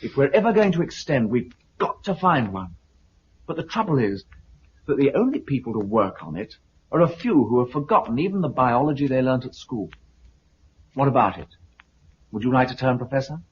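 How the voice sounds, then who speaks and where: A man with a British accent playing a brisk, confident recruiter for a survivors' community in a 1950s radio drama. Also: mid-range voice, very animated, close to an everyday voice. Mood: persuasive. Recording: muffled, noisy 1950s radio recording.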